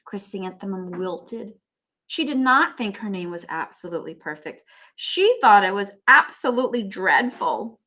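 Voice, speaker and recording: A woman teacher reading a storybook aloud to young children, with expressive emphasis and chatty asides to them. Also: a little high.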